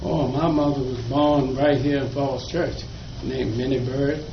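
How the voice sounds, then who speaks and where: A man's voice: mid-range voice, fairly even intonation, unhurried. An older man from Virginia, an interviewee telling folksy stories of his childhood with a chuckle. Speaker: oral history interviewee. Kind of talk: slow reminiscing storytelling. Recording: echoey room, dull lo-fi, faint noise.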